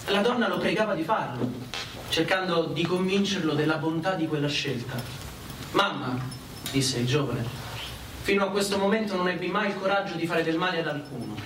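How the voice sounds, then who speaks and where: High-voiced man declaiming in an echoey space. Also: moderate pace. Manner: earnest, declamatory. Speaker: performer reciting. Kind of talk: dramatic literary monologue. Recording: echoey, distant, faintly noisy pickup.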